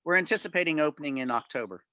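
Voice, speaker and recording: A man's voice: a little high, expressive, racing, sharp tone, dry recording. A man answering club members' questions informally on a video call, explaining things in detail.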